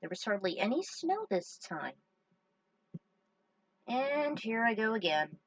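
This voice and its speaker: A woman's voice: fairly high pitch, big pitch swings, slow pace, no room sound. Distant-miked woman reading a story aloud. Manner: chatty, jokey asides. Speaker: fan fiction reader. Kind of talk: story narration with casual asides.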